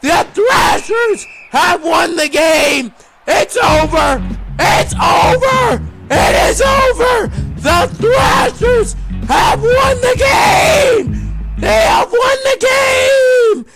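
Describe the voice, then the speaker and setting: Screaming, high-pitched male hockey commentator. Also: drawn-out words, creaky. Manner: screaming, ecstatic, repetitive exclamations. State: voice strained and giving out from screaming. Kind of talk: frantic amateur esports commentary, profanity-laden. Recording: loud music and crowd roar behind. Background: loud music and crowd noise.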